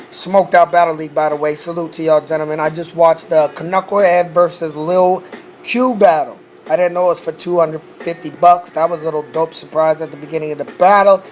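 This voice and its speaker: A man who says he is losing his voice, recapping a rap battle in a casual, profane way and often saying "you know what I'm saying". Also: a little high.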